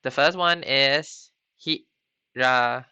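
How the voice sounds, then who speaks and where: Slow, halting man teaching online. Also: mid-range voice, sharp tone, smooth, no room sound. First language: not Japanese. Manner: halting, many ums and restarts. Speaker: Japanese language teacher. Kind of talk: live-streamed lesson. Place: home computer setup. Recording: slightly dull lo-fi stream audio.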